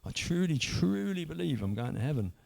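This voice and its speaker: A man's voice: a little high, very animated, brisk, vocal fry, a little mellow. A man, earnestly telling his own story in a rambling way, full of restarts. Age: 50s. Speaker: church member sharing testimony. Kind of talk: personal testimony.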